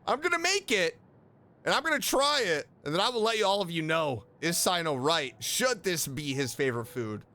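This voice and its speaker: A man giving casual, excitable and playful reaction commentary as a video streamer, gushing with enthusiasm. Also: a little high, normal speed. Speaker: YouTube streamer reacting to game voice lines.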